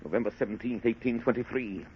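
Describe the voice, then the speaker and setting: An actor playing a stern, zealous, self-satisfied French police inspector reading his notebook in deliberate 1930s radio style. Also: medium pitch, natural voice. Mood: cold, final. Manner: deliberate, emphatic, clipped dramatic reading. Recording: muffled, noisy 1930s radio recording.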